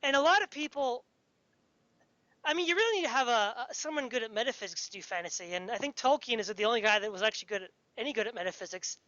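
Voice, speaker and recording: Emphatic, high-pitched woman, muffled recording. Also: animated, quick pace, a bit bright, no echo. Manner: emphatic, insistent, pedantic. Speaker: podcast speaker discussing fantasy. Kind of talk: opinionated casual discussion.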